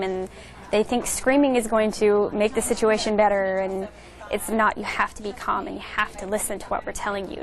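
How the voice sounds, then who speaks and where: A woman working as an emergency dispatcher, explaining things in plain-spoken, emphatic, unscripted talk. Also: medium pitch. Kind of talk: unscripted interview talk, explaining her job.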